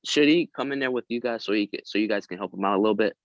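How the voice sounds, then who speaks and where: A man's voice: lowish voice, rapid-fire, dry recording. A man at a table read over a video call, reading script lines and coaching others between them. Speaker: script reader and prompter. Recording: dry, slightly dull call audio.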